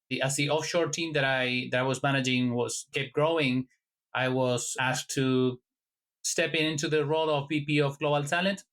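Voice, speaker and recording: A man from Argentina, a guest in an interview, answering in a measured, thoughtful way with some halting restarts. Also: medium pitch, fairly bright tone. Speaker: guest, HR technology consultant.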